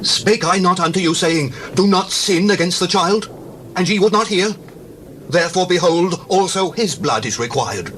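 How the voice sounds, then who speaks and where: An actor playing a passionate, insistent brother in a scripture drama, declaiming forcefully. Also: male voice, high-pitched, moderate pace, slight background noise. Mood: accusing. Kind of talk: dramatized scripture reading in archaic English.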